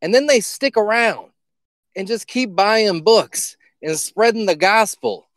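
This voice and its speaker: A man's voice: sits high, lively intonation, a little slow, fairly bright tone, no room sound, studio quality. A man co-hosting a podcast in casual comedic banter, speaking in a mocking, incredulous and profane manner. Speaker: podcast co-host.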